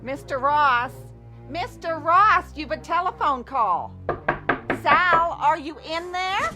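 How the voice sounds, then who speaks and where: A woman's voice: high-pitched, animated, slow pace, over loud music. An actress playing a fussy, nagging landlady in a television drama, indignant and easily scandalized. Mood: annoyed.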